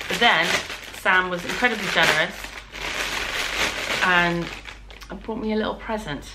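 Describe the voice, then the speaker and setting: A woman with a British accent and a hoarse voice, chatting casually and ramblingly as a knitting vlogger. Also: fairly low pitch, unhurried, heavy background noise. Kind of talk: casual vlog chat.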